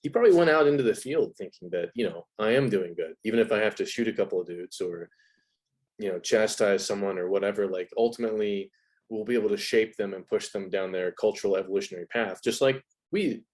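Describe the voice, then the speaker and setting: A man's voice: on the low side, fast talker, a little mellow. A man speaking as an interview guest in a casual, analytical chat, reflective and informal, with plenty of "like" and "you know.".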